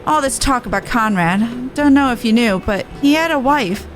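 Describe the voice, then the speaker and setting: A woman's voice: a little high, expressive, moderate pace, faint music behind, lightly acted. A woman voicing a young cat-folk resistance soldier in a game, earnest, battle-worn and blunt. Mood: resolved, gentle. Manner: earnest, blunt, rough-and-ready phrasing. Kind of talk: game dialogue voice-over.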